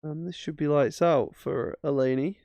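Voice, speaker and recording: Man musing over chess moves, gravelly. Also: a little high, moderate pace, mellow, dry recording. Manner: musing, self-mocking, thinking aloud. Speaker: chess streamer. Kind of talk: casual chess commentary while playing.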